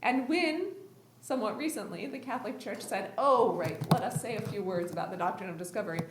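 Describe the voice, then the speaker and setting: Woman professor, measured and reflective, mid-pitched voice, roomy echo with faint background hiss. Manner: thoughtful, measured, with light laughs. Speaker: professor and writer. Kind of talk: reflective remarks in a group conversation.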